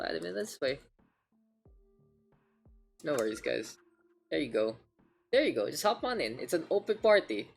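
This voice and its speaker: A man's voice: mid-range voice, gravelly. A man with a Filipino accent casting an esports match on a live stream, chatty and rambling, often repeating himself. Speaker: esports caster.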